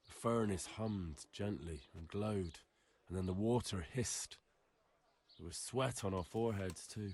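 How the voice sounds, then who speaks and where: A man's voice: lowish voice, measured pace, a little mellow, husky. A young man acting a polite, inquisitive blacksmith's son in a medieval video game, earnest and plain-spoken. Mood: wistful. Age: young adult.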